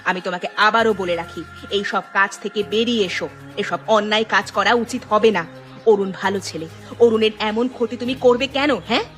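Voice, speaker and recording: A woman's voice: mid-range voice, steady tone, a little fast, fairly bright tone, soft background music, lightly acted. A woman playing an anxious, morally firm village wife in a cartoon, speaking in an earnest, insistent way. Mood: scolding. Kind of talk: cartoon dialogue acting.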